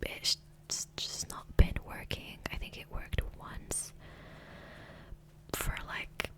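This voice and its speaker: Woman doing whispered asmr gamer rambles, breathy, right up on the mic, casual. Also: takes it slowly. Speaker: ASMR gaming video creator. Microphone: close USB condenser microphone.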